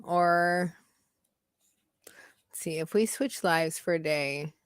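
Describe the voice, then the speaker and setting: A woman's voice: lowish voice, slow, smooth, no echo, studio quality. A woman hosting a casual chat, reading out party questions and thinking them over aloud with hums and giggles, over a video call. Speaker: host.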